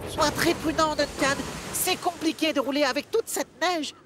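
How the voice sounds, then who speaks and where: A woman's voice: high-pitched, moderate pace, very noisy, lightly acted. A woman voicing a cheerful, considerate little steam locomotive in a children's cartoon. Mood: friendly concern. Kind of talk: children's cartoon dub acting. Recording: music behind the voice.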